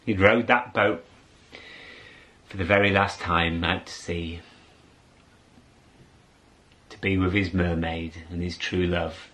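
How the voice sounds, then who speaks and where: A man telling a story aloud in a theatrical way, with dramatic pauses and drawn-out words. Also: sits low, steady tone, fairly bright tone. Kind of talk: animated oral storytelling to an audience.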